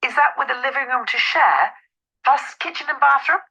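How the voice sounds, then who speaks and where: A woman's voice: high-pitched, moderate pace, vocal fry, bright, forceful tone, breathy edge, exaggerated voice, low-quality recording. A woman playing a polite, helpful estate agent in an acted phone dialogue, businesslike and courteous.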